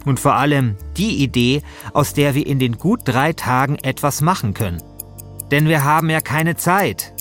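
A man's voice: mid-range voice, animated, normal speed, a bit bright. A man narrating a scripted first-person story as podcast host, in speech with a wry, dramatizing manner. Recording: music behind the voice. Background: music.

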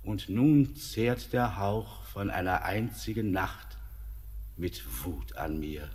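An actor playing an old, weary male mountain spirit in a classic radio play, declaiming verse in a slow, weighty way. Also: low-pitched, darkish tone. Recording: long hall reverb.

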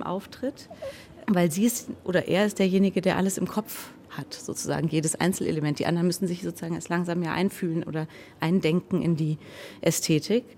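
A woman's voice: on the low side, a little mellow. A woman radio drama director giving a reflective interview, thinking aloud and correcting herself as she goes. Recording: clearly noisy background.

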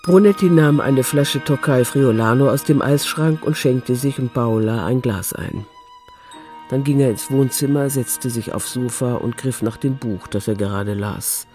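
A man's voice: medium pitch, measured pace, darkish tone, faint music behind. A man reading scripted literary narration as the narrator of a radio drama.

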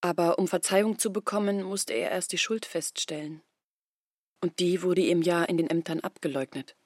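A woman's voice: on the low side, steady tone, normal speed, no room sound, clean studio sound, lightly acted. An actress playing a poor village woman confessing her family's hopeless decline in a literary radio drama monologue. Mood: sober, burdened. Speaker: narrating character in an audio drama. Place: dry studio.

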